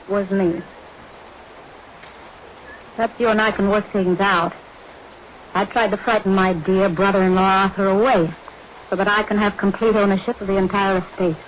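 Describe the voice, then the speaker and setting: A woman's voice: medium pitch, level tone, moderate pace, pauses often, lightly acted, poor audio. An actress playing a cold, scheming, commanding woman who speaks in clipped, insistent questions, in vintage radio thriller style. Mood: scheming, brisk. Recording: vintage radio recording, hiss, rain behind.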